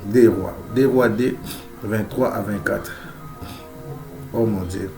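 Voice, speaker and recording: A man's voice: on the low side, slow pace, a little mellow. Male preacher with a head cold, emphatic and repetitive, faint music behind. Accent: Central African French. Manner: emphatic, repeats key phrases, frequent amen and alleluia. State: has a cold. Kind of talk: sermon.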